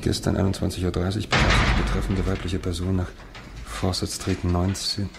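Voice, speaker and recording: A sober, sceptical lawyer in a radio crime drama. His voice is fairly low, with clear background noise. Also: completely flat delivery, moderate pace. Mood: sceptical, resigned. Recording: noisy background ambience.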